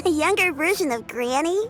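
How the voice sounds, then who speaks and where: A woman's voice: very high voice, heavily acted. A woman voicing a polite, caring, brave young child with a youthful voice in an anime game, earnest and a little hesitant. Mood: polite, curious. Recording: soft music behind the voice.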